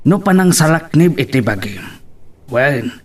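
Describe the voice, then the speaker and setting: An actor playing a patient older father who speaks in a measured, gently admonishing way in an audio drama. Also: fairly high pitch, animated, recorded from a distance. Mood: gentle concern. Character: patient older father calmly advising his aggrieved son. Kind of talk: Ilocano radio-style drama acting.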